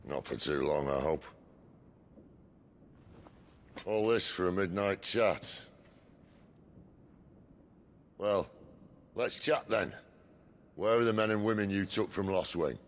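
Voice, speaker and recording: A man playing a weary, defiant rebel in a video game, a gravelly voice and a dry, sardonic manner. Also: deep voice, normal speed. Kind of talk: dramatic video game acting.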